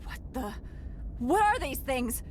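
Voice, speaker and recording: A woman playing a stern, formal security captain in a video game, with measured, official speech. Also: high-pitched, very animated, slight background noise, exaggerated voice. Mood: alarmed, incredulous. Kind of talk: video game dialogue acting. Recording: loud game music behind.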